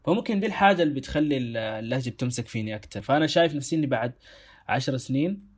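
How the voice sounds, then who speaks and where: A young man with a Sudanese Arabic accent talks quickly in a casual discussion. His pitch sits in a middle range, and he tells his points in an animated, emphatic way. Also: husky. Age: young adult. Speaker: co-host.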